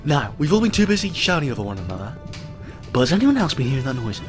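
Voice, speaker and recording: A man voicing a commanding male duplicate of the Doctor who hushes the others and talks quickly. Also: mid-range voice, big pitch swings, over music, pitched above the performer's own voice, close to an everyday voice. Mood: bossy. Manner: brisk, bossy, quick.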